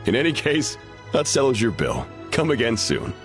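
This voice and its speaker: A man's voice: medium pitch, huge pitch swings, normal speed. A man playing an easygoing, absent-minded restaurant chef in a video game, affable and a little puzzled. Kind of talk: animated video game dialogue. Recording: music clearly behind the voice.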